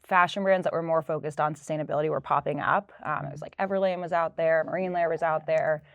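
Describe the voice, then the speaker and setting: A woman's voice: low voice, fairly even intonation, quick pace, no echo, lo-fi sound. A woman in her thirties, a sustainability director, telling her career story fluently in a casual interview. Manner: fluent, storytelling, light laughs. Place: office.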